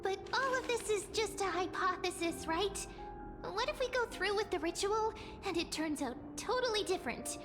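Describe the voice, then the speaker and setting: A small, excitable video game companion. The voice is extremely high, squeaky and childlike, full of eager, naive questioning. Music is clearly heard behind it. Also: female voice, normal speed. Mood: worried. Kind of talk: video game story dialogue. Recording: background music under the voice.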